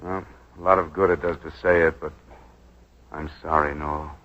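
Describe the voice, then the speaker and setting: An actor with an American accent playing a cynical, defiant lawyer framed for murder in a 1940s radio drama, terse and wisecracking. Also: male voice, extremely low pitch, level tone, normal speed, fairly bright tone. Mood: bitter, resigned. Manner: terse, world-weary, wisecracking. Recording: dull vintage 1940s radio recording.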